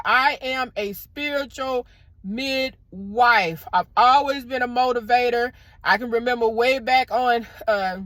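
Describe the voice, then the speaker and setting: Loud woman, motivational vlogger. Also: no echo. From American South. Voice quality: loud deep speaking voice. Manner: warm preacherly encouragement, addresses viewers as y'all. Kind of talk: casual motivational talk to camera. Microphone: clip-on microphone.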